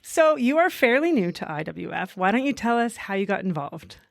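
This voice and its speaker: Woman interviewer, friendly and conversational, mid-range pitch, dry close recording. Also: sing-song, brisk, gravelly, no echo. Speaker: podcast host. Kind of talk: casual interview chat.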